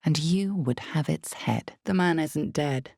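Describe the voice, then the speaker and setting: An actress playing an omniscient, grave and slyly knowing narrator of a fantasy role-playing game, speaking in a measured, dramatic way with hushed emphasis. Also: female voice, low voice, gravelly, no echo, clean studio sound, exaggerated voice.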